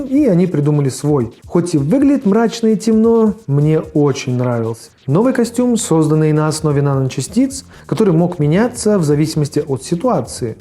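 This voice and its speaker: A man's voice: fairly high pitch, mellow. A man hosting a video review, reading casual scripted narration in a conversational way. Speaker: video host reviewing forgotten cartoons. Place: voice-over with faint music behind.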